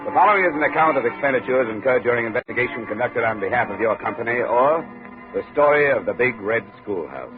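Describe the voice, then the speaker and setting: Man playing a fast-talking hard-boiled investigator. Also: mid-range voice, animated, over loud music, natural voice. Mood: dry, businesslike. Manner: clipped, dry. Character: hard-boiled, wry insurance investigator narrating his case. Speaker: lead and narrator of detective series. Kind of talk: 1950s radio drama narration and acting. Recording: thin, tinny 1950s radio recording.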